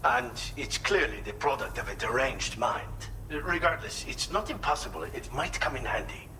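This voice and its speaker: An actor playing a thoughtful, helpful mechanic in a video game, explaining things in a measured, slightly hesitant way. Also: male voice, mid-range voice, lively intonation, bright, forceful tone, slight background noise. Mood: explanatory, wary. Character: thoughtful male inventor mechanic, earnest and helpful. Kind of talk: video game cutscene dialogue.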